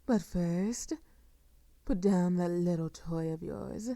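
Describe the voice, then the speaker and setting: A woman performs a sadistic vampire mistress for an intimate audio roleplay. She speaks extremely slowly in a dark, velvety tone, recorded close and dry. She is possessive and commanding yet mock-tender, often sinking into a breathy whisper. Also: on the low side, no room sound. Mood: commanding, gloating. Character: sadistic, seductive female vampire, possessive and doting.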